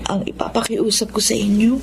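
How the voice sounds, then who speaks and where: A woman's voice: medium pitch, flat delivery, a little fast, creaky, a little mellow, a little hiss or hum, close to an everyday voice. A Filipino actress playing a humble, apologetic young mother who pleads politely in a Tagalog radio drama. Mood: pleading. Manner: deferential, apologetic.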